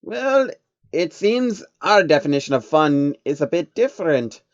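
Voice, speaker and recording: An indignant little changeling from a story, as a man puts him on. He sounds incredulous and disapproving, with animated pitch. The sound is dry and slightly muffled. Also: unhurried, no echo. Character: indignant, questioning male changeling bug creature.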